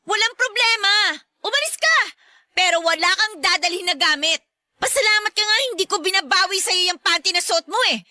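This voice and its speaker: Combative young daughter, radio drama, shrill and bright, emphatic, close dry sound. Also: female voice, expressive, brisk, dry recording, full character voice. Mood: furious. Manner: accusing, emphatic. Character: indignant, combative young daughter standing up to her stepmother. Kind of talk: melodramatic Tagalog radio drama acting.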